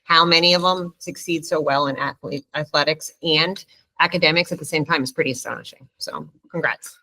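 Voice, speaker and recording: Departing board member, female, bright voice, halting and filler-heavy. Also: sits low, low-quality recording. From New York. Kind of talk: casual meeting remarks.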